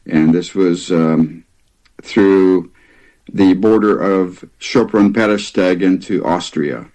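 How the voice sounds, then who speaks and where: A man in his seventies, a guest author telling his story in an interview, hesitant with many pauses and fillers. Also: low voice, mellow, rough recording. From Hungary, raised in the US Midwest. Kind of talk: conversational interview.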